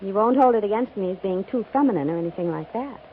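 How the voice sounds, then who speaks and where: A woman's voice: mid-range voice, expressive, fast talker, natural voice. An American woman acting a self-reliant, playfully teasing frontier woman in a 1950s radio drama, with a wry, easygoing manner. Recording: muffled 1950s radio recording, faint noise.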